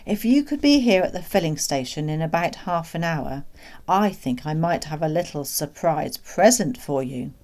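Woman as a proud, sly storybook dad, mellow. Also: sits low, animated, moderate pace. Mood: conspiratorial. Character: proud, sly father, keen country schemer. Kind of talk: children's storybook read aloud.

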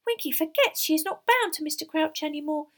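Woman doing a squeaky, eager little male house elf. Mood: earnest, confidential. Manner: deferential, excitable, broken grammar, halting.

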